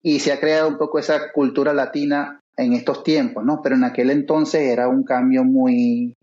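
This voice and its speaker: A man in his forties answers questions slowly, filling pauses with hesitant sounds. His voice sits in the middle range for a man. He is heard over a remote call that sounds dull and lo-fi. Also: medium pitch, fairly even intonation, mellow. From Venezuela. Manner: halting, many fillers and pauses. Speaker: guest author. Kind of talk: interview conversation.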